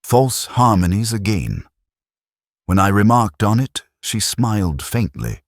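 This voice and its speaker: A man playing a weary, literate police inspector, narrating a detective drama in a measured, melancholy, literary way. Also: on the low side, sing-song, dry recording, studio quality, heavily acted. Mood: brooding, reflective. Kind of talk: scripted first-person detective narration.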